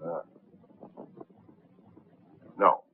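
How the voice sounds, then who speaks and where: An actor playing a gruff, suspicious middle-aged police captain in a 1930s film, speaking bluntly in clipped phrases. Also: male voice, extremely slow. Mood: businesslike. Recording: noisy, tinny 1930s film soundtrack.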